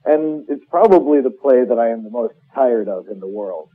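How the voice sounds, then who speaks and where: Casting: male playwright interviewee, reflective and self-correcting, husky-edged voice over a narrow phone-like connection. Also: mid-range voice, lively intonation, normal speed. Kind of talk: casual interview conversation. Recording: muffled phone-like line.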